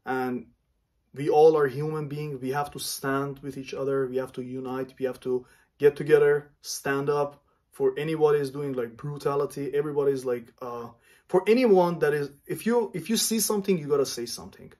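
A man in his thirties from Iran, speaking earnestly and haltingly to camera in a small home room, often stopping to search for words. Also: fairly high pitch, fairly even intonation, a little mellow. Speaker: vlogger.

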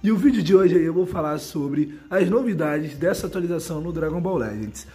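A young Brazilian man, hoarse from a cold and losing his voice, hosting a casual, slangy gaming news update. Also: a little high, expressive, brisk, mellow. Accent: Brazilian Portuguese. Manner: slangy, chatty, addresses viewers as bro. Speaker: gaming channel host. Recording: faint music behind.

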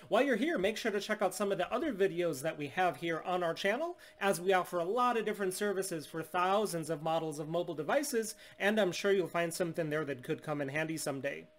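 A man in his thirties from the United States, a steady, salesy business owner explaining a tablet unlock to camera in a small room. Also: sits high, fast, few pauses. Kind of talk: scripted product demonstration. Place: small indoor room at home.

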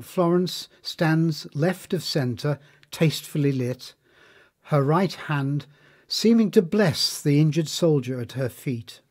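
A man narrating a scripted biography in a measured, formal manner. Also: a little high, darkish tone.

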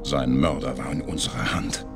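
A man voicing a proud alien warrior commander in a video game, formal, forceful and military. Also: rumbling low, fairly even intonation, normal speed, music in the background. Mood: indignant. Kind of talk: cinematic video game acting.

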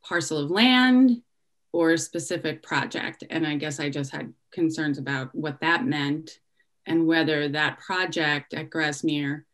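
A middle-aged woman giving public comment at a town meeting over a video call from home, speaking haltingly with many pauses and restarts. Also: deep voice. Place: home room indoors. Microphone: laptop webcam on a video call.

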